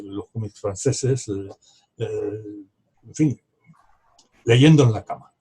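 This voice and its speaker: A husky, very breathy older man in his seventies, from Morocco, with a soft, mellow tone. He rambles as a novelist guest at a book club over a video call, restarting sentences and trailing off into reflective asides. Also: on the low side. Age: 70s. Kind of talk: casual reflective conversation.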